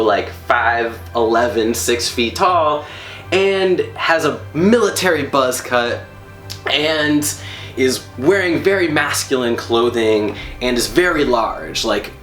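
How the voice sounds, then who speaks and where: A man telling a personal story to an audience, animated and emphatic, laughing as he talks. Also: medium pitch. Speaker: storyteller on stage. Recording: roomy hall echo.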